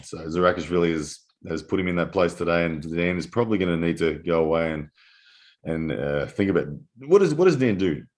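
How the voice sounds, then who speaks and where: A man commentating live on an arm-wrestling match, analytical and full of restarts, his voice going hoarse in the early morning. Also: extremely low pitch. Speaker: armwrestling commentator.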